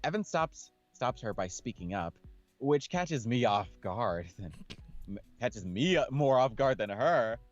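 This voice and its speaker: Male let's play host, jokey and expressive, reading a visual novel over music. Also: medium pitch, measured pace, dry recording, muffled recording. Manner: slangy, jokey, reacting aloud. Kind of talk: casual playthrough reading and commentary. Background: background game music.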